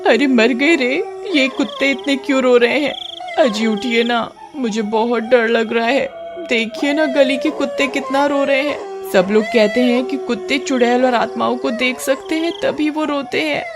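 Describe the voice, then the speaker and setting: A woman voicing a frightened, nagging village wife in an animated horror story, anxious and pleading. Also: high voice, normal speed, over music, voice pushed up, lightly acted.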